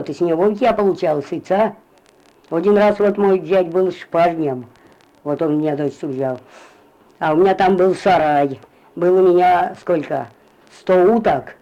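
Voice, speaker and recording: Old village woman with a dark, lo-fi voice. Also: on the low side. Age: older. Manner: meandering, repetitive, drops to a mumble at phrase ends. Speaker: interviewee, retired farm worker. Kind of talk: rambling spoken memories. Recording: lo-fi field recording.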